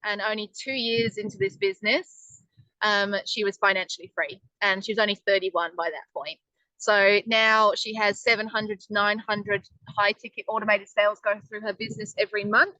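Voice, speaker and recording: A woman's voice: mid-range voice, fairly even intonation, moderate pace, pushed and bright, dry recording, lo-fi sound. A woman presenting a casual webinar in a chatty, upbeat way, with lots of ums. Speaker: online business presenter.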